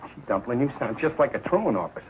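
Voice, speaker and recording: A man's voice: mid-range voice, big pitch swings, quick pace, close to an everyday voice. Man acting a bumbling sitcom dad, muffled old recording. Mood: sheepish, evasive. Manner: blustering, sheepish, ungrammatical. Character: bumbling, evasive, put-upon working-class husband and father. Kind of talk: 1940s television sitcom acting. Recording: muffled, noisy old 1940s TV soundtrack.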